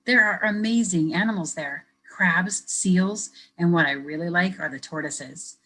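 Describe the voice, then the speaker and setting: A woman educator reading a picture book aloud to children, pausing to ask them questions in a gentle, expressive way. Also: lowish voice, slight background noise, poor audio. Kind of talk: children's read-aloud with teacher commentary.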